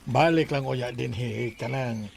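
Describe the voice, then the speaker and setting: A reserved, easygoing father in a Cebuano-accented radio drama. He speaks very slowly with a gravelly creak. Light ambient noise runs behind him. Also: medium pitch. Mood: calm, accepting. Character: reserved, consenting father of a grown daughter. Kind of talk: Bisaya radio drama acting.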